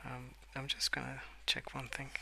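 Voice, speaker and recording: A man speaking in a soft, hushed ASMR ramble, drifting between murmur and whisper in a hesitant, unhurried way. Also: medium pitch, monotone, creaky, noisy background. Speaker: host of an ASMR colouring channel. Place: indoors at a wooden desk.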